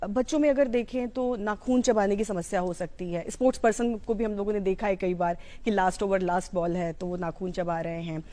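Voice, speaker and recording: A woman's voice: mid-range voice, steady tone, brisk, hardly any pauses, mellow. A woman with an Indian accent giving an informal, explanatory educational talk, mixing in English terms. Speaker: psychology educator.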